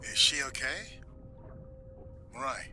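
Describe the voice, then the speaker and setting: A man's voice: mid-range voice, expressive, slow, sharp tone, soft background music, full character voice. A man playing a dry, cautious and caring male science colleague in a naturalistic video game performance. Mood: concerned.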